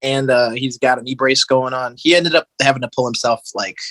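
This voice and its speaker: A man's voice: medium pitch, fairly quick, fairly bright tone, no room sound. An American man chatting casually as a podcast guest, telling stories in an informal, slangy way. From Michigan, United States. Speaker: podcast guest, metalcore guitarist. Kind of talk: casual conversational storytelling.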